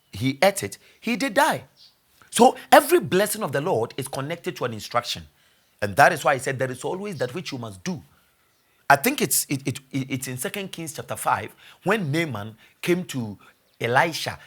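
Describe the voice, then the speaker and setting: A man preaching a sermon as pastor, repeating key phrases, asking the congregation rhetorical questions and building to loud exhortation. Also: mid-range voice.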